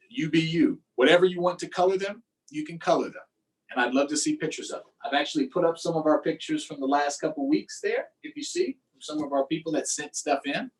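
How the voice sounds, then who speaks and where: A man's voice: a little high, recorded from a distance, low-quality recording. A man hosting a storytime, reading aloud and chatting simply and encouragingly with young children. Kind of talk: read-aloud and casual chat to young children.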